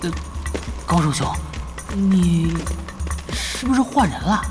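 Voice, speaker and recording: Eager, animated young male gamer. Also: high voice, takes it slowly, over music. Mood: bewildered. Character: friendly, eager, peacemaking young male party leader. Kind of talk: Chinese audio drama acting.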